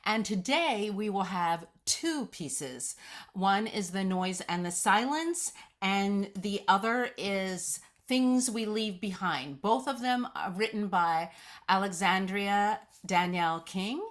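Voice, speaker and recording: Unhurried woman narrator. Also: mid-range voice, animated. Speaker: presenter and narrator. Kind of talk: scripted narration for script read.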